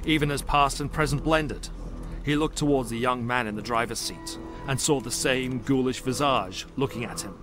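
A male narrator delivers scripted, dramatic prose for an audio drama. His voice sits at a medium pitch. Loud music runs behind him. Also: moderate pace.

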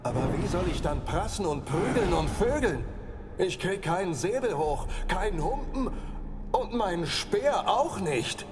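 A man's voice: high voice, very animated, a little slow. An actor playing a boisterous, crude male ghost who mocks and demands to be entertained, in a video game dub. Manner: exuberant, crude, mocking. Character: boisterous male ghost, lewd pleasure-seeking nobleman. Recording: reverberant with music underneath.

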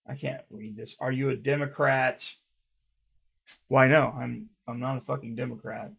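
A man in his thirties reading fan comments aloud on his channel, rambling and swearing, with a stutter he mentions himself, recorded by a webcam in a dark room at home. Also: medium pitch, fairly even intonation, moderate pace, gravelly, slightly rough. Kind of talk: casual reaction commentary.

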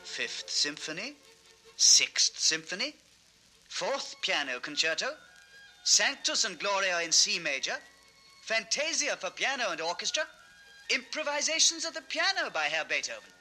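An actor playing a curious, impressed townsman, declaiming crisply on a 1960s children's record. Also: sits high, big pitch swings, unhurried, pushed and bright, faint music behind, heavily acted, rough recording. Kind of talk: 1960s children's record radio-play acting.

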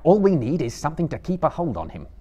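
A man playing a stern, fair-minded hobbit leader who speaks with deliberate command in a dramatic fantasy reading. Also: on the high side, very animated, dark tone, dull audio, pitched above the performer's own voice. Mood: practical.

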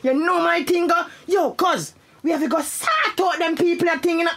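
A man's voice: very high voice, normal speed, gravelly, crowd noise. A man playing a brash, hot-headed young nephew in a radio drama, with a Jamaican accent. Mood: hot-headed, threatening.

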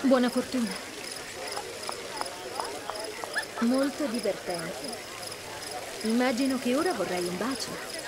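A woman playing a spirited, sceptical young heroine in an animated video game, with a wry, indignant manner. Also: on the high side, normal speed, over a noisy crowd, natural voice. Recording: loud noise with clicks behind.

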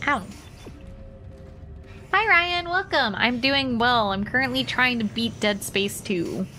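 Chatty young female streamer, bright and a touch high, talking to chat over soft game music. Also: takes it slowly. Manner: playful, chatty, talks to chat while playing. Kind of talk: casual gameplay commentary to chat.

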